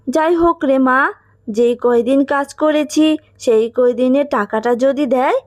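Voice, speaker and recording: A woman voicing a poor, anxious, gentle fox mother in a children's cartoon, pleading and soothing in a motherly way. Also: sits high, moderate pace, no room sound, studio quality, natural voice. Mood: soothing, weary.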